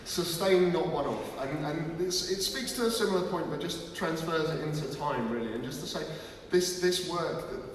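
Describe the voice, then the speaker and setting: A man's voice: a little high, slight background noise. A man giving a live presentation at a launch event, talking energetically with many restarts. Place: large museum hall. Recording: echoey hall from far off.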